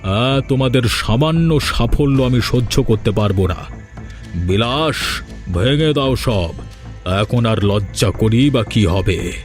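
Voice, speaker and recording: A man's voice: mid-range voice, expressive, takes it slowly, faint music behind. A man voicing a greedy, scheming businessman villain in a cartoon, who stammers once beaten. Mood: menacing. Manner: stammering when defeated. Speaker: villain Chandrakanta. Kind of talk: cartoon voice acting.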